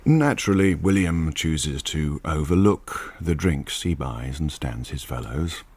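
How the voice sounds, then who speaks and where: Very deep, brooding novelist narrator. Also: male voice, lively intonation, unhurried, a little mellow, breathy edge, full character voice. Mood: brooding, intent. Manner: musing, asks himself questions. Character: brooding male crime novelist narrating his own plot. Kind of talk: intimate radio drama monologue.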